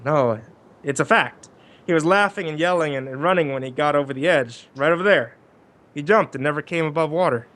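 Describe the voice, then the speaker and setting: A man acting a flustered, skeptical real estate agent in an old-time radio drama, talking casually with stammers and halting phrases. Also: fairly high pitch, fairly bright tone, natural voice. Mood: earnest, grim.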